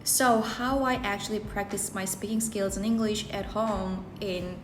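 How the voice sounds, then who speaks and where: A woman's voice: medium pitch, steady tone, a little slow, a little hiss or hum. A woman speaking English, giving earnest personal advice to camera and often rephrasing herself mid-sentence. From South Korea. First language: Korean. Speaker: English-learning video creator. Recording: roomy echo, faint background music.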